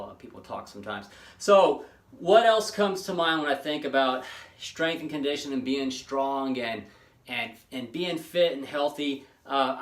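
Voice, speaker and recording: A man in his late forties hosting his own fitness channel, talking casually and rambling with many pauses and restarts. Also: medium pitch, fairly bright tone, roomy sound. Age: 40s. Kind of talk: casual monologue to camera.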